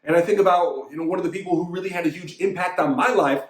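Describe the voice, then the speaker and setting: Thirties male fitness coach, high voice, emphatic repetitive pep talk, echoey room. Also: fast talker. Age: 30s. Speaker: fitness coach and show host. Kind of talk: motivational monologue.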